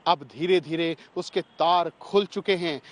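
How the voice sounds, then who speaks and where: A man's voice: fairly high pitch, steady tone, unhurried. A man working as a news reporter, explaining a story in report style.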